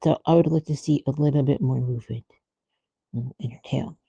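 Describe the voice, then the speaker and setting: A woman with a very soft-edged, dark voice and a creaky fry talks through her art on a casual livestream. She muses aloud and lets thoughts trail off. The sound is close and dry. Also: extremely low pitch, brisk, dry recording, lo-fi sound. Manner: musing, trailing off mid-thought. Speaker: artist streamer thinking aloud while drawing.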